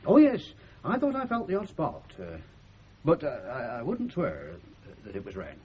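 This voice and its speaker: A man's voice: on the high side, huge pitch swings, moderate pace. Blustering Northern English father, wildly swooping voice. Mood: flustered rambling. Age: middle-aged. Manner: exclamatory, rambling, homely dialect delivery. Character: blustering, easily affronted working-class father, middle-aged, gossipy. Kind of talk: 1970s radio comedy drama acting. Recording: muffled, slightly hissy 1970s radio recording.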